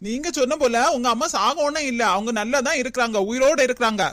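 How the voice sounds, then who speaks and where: A woman doing an impatient doctor who gives short, firm orders, her voice high. The recording is dry and close. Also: fairly bright tone, no room sound, lightly acted. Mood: brisk. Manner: brisk, ordering.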